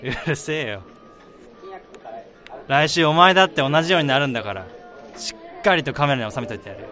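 A man's voice: a little high, a little fast, bright, forceful tone, soft background music. A man acting in a radio drama as an easygoing married friend of a groom, around thirty, casual and bantering. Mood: friendly teasing. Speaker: lead actor and narrator. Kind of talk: radio drama acting with first-person narration. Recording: busy crowd noise behind the voice.

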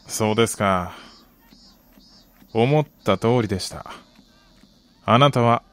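An actor playing a middle-aged, wistful gambling soccer fan in a radio drama, polite and measured with a rueful, quietly menacing edge. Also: male voice, lowish voice, no echo, soft background music. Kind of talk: Japanese radio drama acting.

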